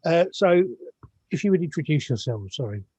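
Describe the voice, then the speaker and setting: A man's voice: fairly high pitch, very animated, moderate pace, a little mellow, husky. A man hosting a music podcast, chatting casually, with many restarts and apologetic asides. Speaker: podcast host. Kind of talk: casual interview chat.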